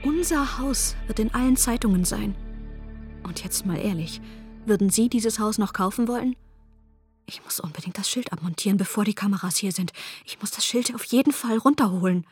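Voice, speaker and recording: Actress doing a frazzled self-absorbed mum, flustered chatter, mid pitch, faint music. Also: female voice, normal speed, a little mellow, breathy edge, lightly acted. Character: frazzled mother of young children, self-absorbed, anxious. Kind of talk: radio drama monologue.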